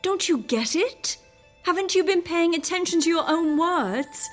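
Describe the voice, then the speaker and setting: An actress playing a headstrong, demanding wife in a radio drama, with a sarcastic, bossy manner. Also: female voice, very high-pitched, lively intonation, unhurried, faint music behind. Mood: scheming. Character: headstrong, demanding, sardonic wife chasing a perfect life.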